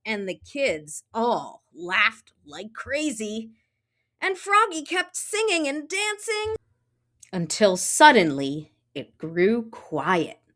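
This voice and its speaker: A woman reading a children's picture book aloud, with expressive, drawn-out storytelling and sound effects. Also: a little high. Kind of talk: animated children's read-aloud narration.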